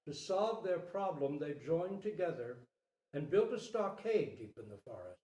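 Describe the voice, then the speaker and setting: A man tells a story theatrically and deliberately, in a mellow voice with wide swings of pitch. The recording sounds roomy, with the microphone at a distance. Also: sing-song. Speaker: storyteller, Zen teacher. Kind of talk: dramatic storybook reading.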